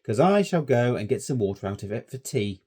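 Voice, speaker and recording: A man's voice: mid-range voice, very animated, fairly quick, husky. A man doing a sneering, rude schoolboy. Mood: scornful. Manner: loud, sneering and bossy. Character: rude, scornful schoolboy scout.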